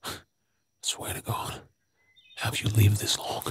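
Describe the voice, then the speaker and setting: An Australian man playing a gruff, wary zombie apocalypse survivor in a whispered ASMR role play, terse, urgent and husky. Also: lightly acted. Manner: terse, urgent, slow clipped phrases.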